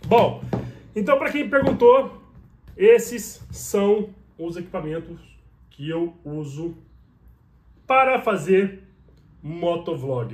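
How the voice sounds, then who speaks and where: A man in his thirties with a Brazilian Portuguese accent, chatting to the camera about his riding gear and checking in with the viewer after nearly every sentence. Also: high voice. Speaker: motovlogger. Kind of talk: casual gear tutorial to camera. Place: small bedroom, indoors. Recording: echoey bare room.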